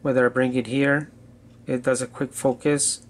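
A man's voice: mid-range voice, level tone, normal speed. A man giving a casual, unscripted gadget demo indoors, thinking aloud a bit hesitantly as he goes. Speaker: gadget reviewer.

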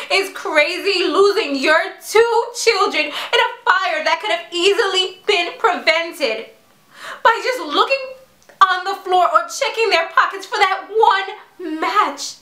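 Woman performing grieving defensive mother, dramatic monologue, high voice, slow halting delivery, emotional, echoey room. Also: bright, forceful tone, full character voice. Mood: anguished. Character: grieving, defensive mother questioned by her doctor.

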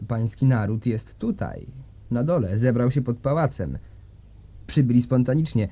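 Fawning male government aide, dark mellow mid-range voice, deferential, stage drama. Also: medium pitch, moderate pace. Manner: deferential, flattering. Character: obsequious male secretary of state.

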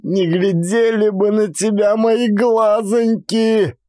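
A man's voice: high-pitched, steady tone, warm tone, no echo, clean studio sound, pitched above the performer's own voice. A man voicing a furious old Cossack woman with a rattling, cracked voice, scolding her son in a radio-play style story. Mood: bitter, scolding. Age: old woman figure. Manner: scolding, wailing, drawn-out.

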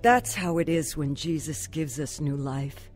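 A woman's voice: sits low, a little slow, darkish tone, faint music behind. An actress playing a troubled, earnest woman telling her story of faith in a radio drama. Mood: grateful, testifying. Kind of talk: radio drama acting and first-person narration.

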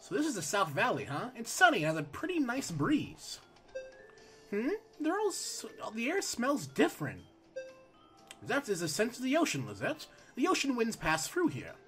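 A man hosting a let's play, reading game text aloud and chatting casually while his voice is going hoarse. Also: very high-pitched, normal speed, faint music behind. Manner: reads on-screen text aloud, relaxed asides. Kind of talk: casual gameplay commentary.

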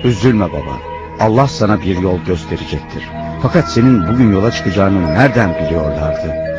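An actor playing a prophet's devoted, concerned grown son in a cartoon, earnest and caring. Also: male voice, low voice, animated, moderate pace. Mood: concerned, reassuring. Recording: dull lo-fi audio with music.

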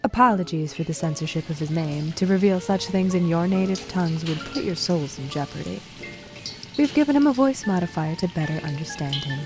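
An actress playing a composed, dutiful daughter who hosts a dystopian broadcast in a formal, careful, polite way. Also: female voice, fairly low pitch, brisk, over music, natural voice. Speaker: broadcast host.

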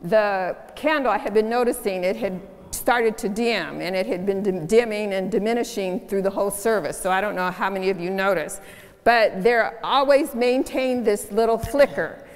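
A woman's voice: mid-range voice, normal speed. A woman pastor giving an unscripted closing charge to a congregation, speaking reflectively with fillers and restarts. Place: large church sanctuary. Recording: long church reverb.

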